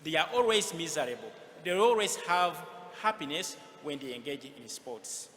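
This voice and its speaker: A man's voice: fairly high pitch, expressive, moderate pace, fairly bright tone. A man giving an earnest acceptance speech as an award winner. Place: large event hall, on stage. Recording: echoey hall, noticeable background noise.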